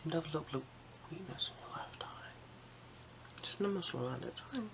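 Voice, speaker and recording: Creaky, whispering ASMR eye examiner. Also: expressive. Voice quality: breathy whisper mixed with soft, creaky low speech. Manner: gentle, unhurried, reassuring instructions. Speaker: ASMR performer role-playing an eye examiner. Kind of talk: soft ASMR eye exam role-play.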